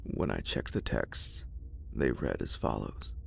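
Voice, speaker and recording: A man narrating a horror story in a breathy, whispered ASMR style with dramatic delivery. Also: very deep voice, expressive, slow, soft background music.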